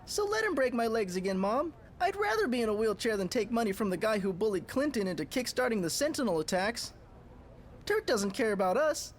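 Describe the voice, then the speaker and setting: A man's voice: high-pitched, lively intonation, brisk, slight background noise. A woman playing an indignant, defiant teenage mutant boy in a motion comic, heated and slangy. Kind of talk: motion comic character acting.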